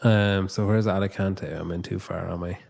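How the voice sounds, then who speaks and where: Male podcast host, lowish voice, casual and unpolished, swears freely. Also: steady tone, moderate pace, creaky, darkish tone. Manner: loose, swears casually, thinks aloud. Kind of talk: casual interview chat. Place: new studio with echo.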